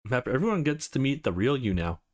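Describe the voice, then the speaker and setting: A man's voice: medium pitch, big pitch swings, fast, natural voice. A man playing an easygoing, wry young man in a visual novel, casual and reassuring.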